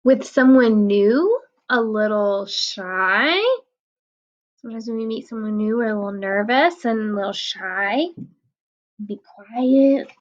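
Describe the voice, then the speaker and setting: A woman reading a picture book aloud to children, explaining the pages in a gentle, patient way. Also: on the high side, takes it slowly, highs cut off.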